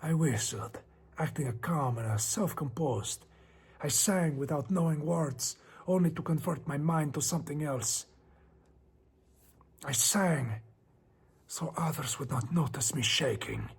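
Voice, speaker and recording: Man putting on a brooding Spanish narrator, husky and theatrical. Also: fairly high pitch, unhurried, pauses often, pitched above the performer's own voice, exaggerated voice. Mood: shaken, self-reproaching. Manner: theatrical, brooding, often dropping to hushed tones. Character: anxious, self-questioning Spanish man narrating his descent into madness. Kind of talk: dramatic horror story narration.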